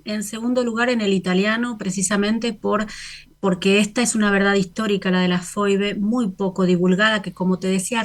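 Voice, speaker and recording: An Argentine woman poet and novelist, talking as a radio interview guest in a reflective, halting way, often restarting and laughing softly. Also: mid-range voice. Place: remote, from Italy.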